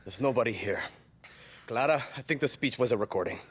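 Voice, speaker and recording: Very husky, blunt guerrilla fighter. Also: male voice, medium pitch, lively intonation, brisk. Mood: guarded. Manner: sardonic, blunt.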